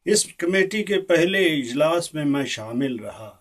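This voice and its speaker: A man performs an earnest, disillusioned Indian statesman in a theatrical monologue, heard a little way from the microphone. His voice sits slightly high for a man. He speaks as an orator to an assembly, very slowly and deliberately, leaving weighty pauses between phrases. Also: expressive. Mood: grave, resigned. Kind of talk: theatrical political speech monologue. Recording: distant microphone.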